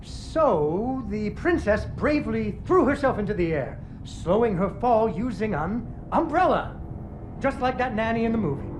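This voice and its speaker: Wry storybook father for a game, animated and playful, patient with his child, over prominent music. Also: high voice. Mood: suspenseful, wry. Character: patient father improvising a fairy tale for his daughter. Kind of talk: dramatic bedtime-story narration in a video game.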